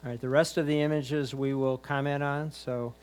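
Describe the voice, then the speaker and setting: A man's voice: medium pitch, little pitch movement, normal speed, darkish tone, smooth. A man reading out titles and scores as a competition announcer, in a formal announcing style.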